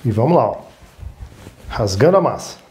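Male cooking host, Brazilian accent, medium pitch, informal instructional delivery with frequent check-in tags. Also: big pitch swings, normal speed, a little mellow. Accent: Brazilian Portuguese. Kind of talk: casual step-by-step cooking demonstration.

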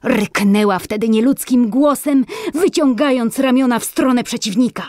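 A woman narrating a fairy tale dramatically as a storyteller, in a husky voice. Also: fairly high pitch, normal speed, very noisy.

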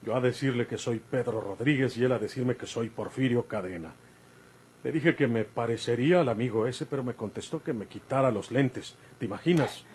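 A man's voice: mid-range voice, a little fast, darkish tone, close to an everyday voice. An actor playing an older, rustic Mexican outlaw father, folksy and evasive, in an old radio drama. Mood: defensive, insistent. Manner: folksy, rural colloquial speech. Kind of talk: golden-age Mexican radio drama acting. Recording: noisy vintage radio recording.

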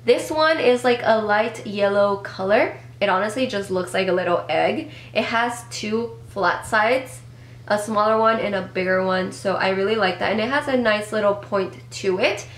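A woman's voice: medium pitch, moderate pace. A young woman in her twenties chatting casually to camera in a product review, a bit congested from a cold, upbeat and rambling with little self-corrections. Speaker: beauty vlogger. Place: small home room with decor shelves. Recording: echoey room with faint hum.

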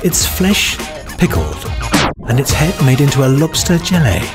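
A man narrating a food documentary in a smooth, scripted voice-over. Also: mid-range voice, very animated, moderate pace. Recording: voice-over with background music.

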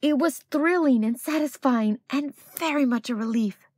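A woman storyteller voicing an earnest, hopeful male scientist in a picture book, speaking in a measured, emphatic way. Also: sits high, darkish tone, dry recording, studio quality, voice pushed up, close to an everyday voice. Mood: relieved.

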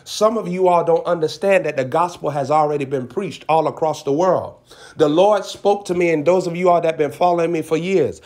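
A man's voice: on the high side, a little fast, a little mellow. A man preaching as a self-styled prophet in an impassioned exhortation, emphatic and repeating short phrases for effect.

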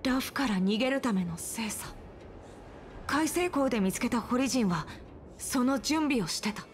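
High, earnest boyish young subordinate. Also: female voice, lively intonation, a little slow, slight background noise. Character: earnest young male subordinate, eager and questioning. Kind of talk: Japanese video game voice acting.

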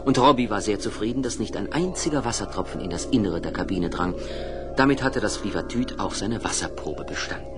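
A man narrating a scripted children's story in a clearly phrased storytelling manner. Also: on the low side, animated, brisk, loud music behind the voice.

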